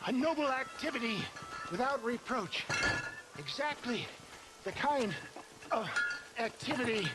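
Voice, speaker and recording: A flamboyant old Western huckster in a video game, high-voiced and unhurried. He rolls out pompous, genial phrases full of schemes, with clear noise behind him. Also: animated. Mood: grandly scheming. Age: older. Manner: grandiloquent, drawn-out phrasing with throat-clearing and chuckles. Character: flamboyant old snake-oil salesman and swindler, genially scheming. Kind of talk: theatrical video game acting.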